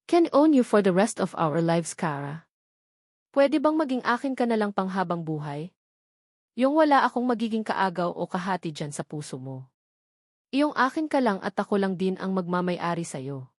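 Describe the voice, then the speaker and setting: A woman's voice: medium pitch, sing-song, moderate pace, no room sound, clean studio sound. A woman voicing an anxious, lovesick husband in an audio romance novel, pleading earnestly and sorrowfully with his wife. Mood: possessive, pleading.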